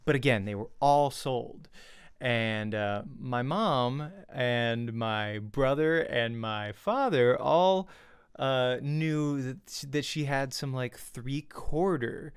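A young man chatting casually as a vlogger, telling a personal story in an animated way and acting out reactions, close on a desk mic at home. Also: medium pitch, slow, smooth. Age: young adult. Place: small home room. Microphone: desk studio mic with pop filter, close.

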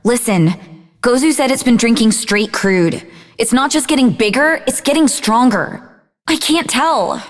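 An actress voicing a sarcastic, sharp-tongued, bookish young half-demon woman in scripted video game dialogue, with a dry delivery. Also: a little high, expressive, measured pace, big-room sound. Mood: urgent. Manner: dry, sardonic.

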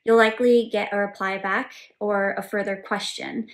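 A woman in her thirties, the resident polyglot, answering viewer questions in a clear, explanatory way from a home office. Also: mid-range voice, level tone, moderate pace. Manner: clear, explanatory, gestures with both hands. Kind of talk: scripted Q&A to camera. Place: home office with bookshelves and world map, indoors.

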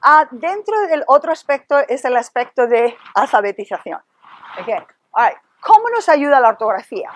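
A middle-aged woman presenting a lecture in a classroom, animated, asking the room rhetorical questions and tossing in "ok" and "right" as fillers. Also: sits high. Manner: animated, gestures a lot, sprinkles English fillers like "ok" and "right"; asks the audience rhetorical questions. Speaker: workshop presenter. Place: classroom with projection screen. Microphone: room camera at a distance.

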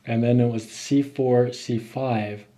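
A man in his thirties, a chiropractor, calmly giving coaxing hands-on instructions to a patient. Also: mid-range voice, slow. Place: small office, indoors. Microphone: microphone on boom stand.

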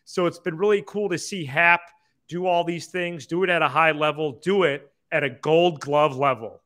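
A young man hosts a baseball podcast with a bright, forward voice pitched a little high. He lays out his analysis casually, point by point, close to a desk microphone. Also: moderate pace. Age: young adult. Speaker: sports podcast host. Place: small indoor room, video call.

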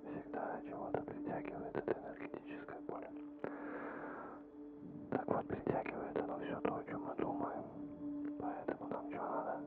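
Guy whispering asmr relaxation stuff right up on the mic, soothing and breathy, thin narrow lo-fi sound. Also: loud music behind the voice. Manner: calming, unhurried, playful rambling. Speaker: ASMR host. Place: small dim room indoors. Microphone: close studio microphone with foam cover. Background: rain and thunder sound effects.

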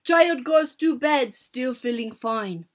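A woman's voice: sits high, lively intonation, slow. A woman voicing a commanding, gloating old witch ringleader with broken, foreign-style grammar in a storybook reading. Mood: commanding, explaining. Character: gloating old Grand High Witch, ringleader plotting against children.

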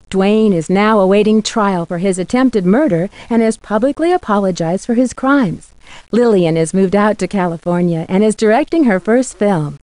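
A curious young woman detective from a mystery video game, voiced by an actress. She speaks earnestly and politely at a medium pitch, enunciating her scripted lines. The sound is close and dry, with no room around it. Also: a little mellow, no echo, close to an everyday voice.

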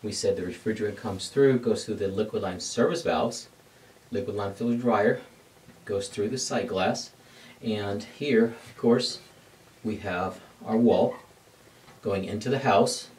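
A middle-aged man teaching an HVAC lesson at a glass board in a dark studio, explaining each step and answering his own questions. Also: on the low side, measured pace, far from the mic, slight background noise. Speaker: HVAC instructor. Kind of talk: whiteboard technical lesson.

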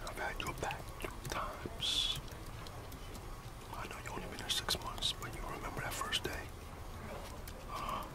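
A man's voice: measured pace, long pauses, far from the mic. A middle-aged American man in a whispered ASMR roleplay, confiding like a disgruntled coworker in colloquial speech. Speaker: ASMR roleplayer playing a gossiping office employee.

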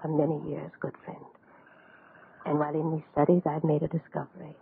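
An anguished woman doctor in an old radio horror play. Her delivery is halting and solemn, with a gravelly creak, on a thin, phone-like recording with some hiss. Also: low-pitched, level tone. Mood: solemn, confiding. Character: anguished woman doctor, a scientist afraid of her own experiment. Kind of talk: 1930s radio horror acting.

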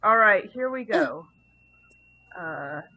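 A woman's voice: medium pitch, lively intonation, slow pace, bright, forceful tone, dry recording. A woman hosting a casual group stream, chatting with friends over an online voice call. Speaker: host of a live group stream. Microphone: computer mic in online group call. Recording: dull, lo-fi voice chat audio.

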